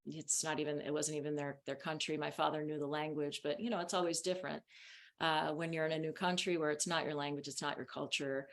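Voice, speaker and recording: A woman's voice: low-pitched, little pitch movement, fast. A woman whose first language is Spanish, chatting as an interview guest and laughing often. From United States. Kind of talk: casual interview talk.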